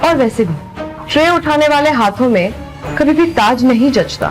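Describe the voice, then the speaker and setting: Haughty, animated mean girlfriend. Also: sits high, normal speed, soft background music. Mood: mocking, warning. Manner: taunting, mixes Hindi with English. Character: mean, mocking young girlfriend, possessive and haughty. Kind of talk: audio drama acting.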